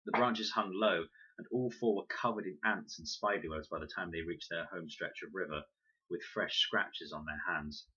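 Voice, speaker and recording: Male storybook reader: low, bright-edged voice, scripted narration, slightly lo-fi. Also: normal speed, husky. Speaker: audiobook reader.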